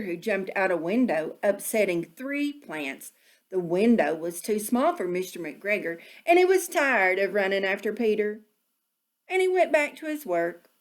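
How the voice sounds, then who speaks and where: Animated woman reading a children's storybook. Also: mid-range voice. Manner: animated, deliberate phrasing for young children. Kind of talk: expressive storybook narration.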